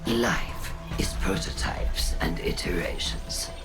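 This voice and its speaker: An actress playing an obsessed, imperious scientist villain in a video game, cold, condescending and theatrical, with gravelly half-whispers. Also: female voice, a bass voice, slow. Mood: cold condescension. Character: obsessed imperious female scientist turned villainous would-be conqueror. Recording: loud music under the voice.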